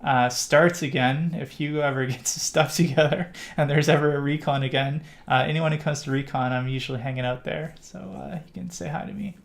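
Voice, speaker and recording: Middle-aged Canadian livestream host, gravelly voice, loose and rambling, lots of ums. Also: male voice, fairly high pitch, steady tone, a little fast, hardly any pauses. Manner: rambling with many fillers and restarts. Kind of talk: casual live Q&A chat.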